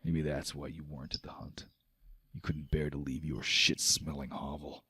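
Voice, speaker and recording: Man acting a deep-voiced, proud giant warrior chieftain. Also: level tone, normal speed, sharp tone, husky, dry recording, studio quality, full character voice. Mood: cold, menacing. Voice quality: low growl when angered. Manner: declamatory, theatrical, old-fashioned oaths. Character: proud boisterous giant warrior chieftain, fierce when insulted.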